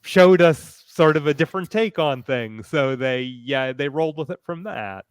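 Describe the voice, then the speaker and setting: Male panelist, slightly high clean voice, closely recorded and dry, thoughtful stop-and-start casual delivery. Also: expressive, normal speed, clear, resonant tone, dry recording.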